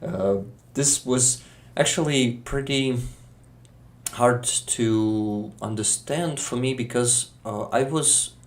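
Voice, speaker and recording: Ukrainian man guest, slow deliberate English with a Ukrainian accent, halting, restarts often; unhurried pace, mid pitch. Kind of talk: casual interview conversation.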